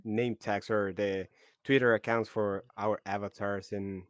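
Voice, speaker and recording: A man's voice: lowish voice, little pitch movement, a little slow, no echo, studio quality. A man with a Venezuelan accent hosting a podcast, talking in an easygoing way with many laughs and fillers. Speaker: podcast host. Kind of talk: casual chat.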